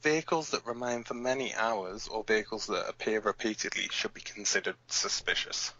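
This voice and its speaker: Guy acting as a resistance bug-sweep expert in a radio drama, lowish crisp forceful voice, matter of fact, kinda lo-fi sound. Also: expressive, brisk, full character voice. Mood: instructive. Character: male resistance expert on bug detection, frank and practical. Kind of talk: scripted audio drama, tutorial delivery. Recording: slightly dull, lo-fi audio.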